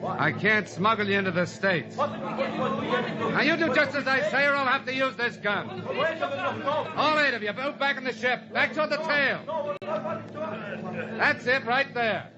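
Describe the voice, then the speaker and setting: An actor playing a hard, uneasy male smuggler pilot, gruff in manner, in 1940s radio acting. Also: extremely high pitch, a little slow, pauses often, over a noisy crowd. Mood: threatening. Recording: muffled old radio broadcast with hiss.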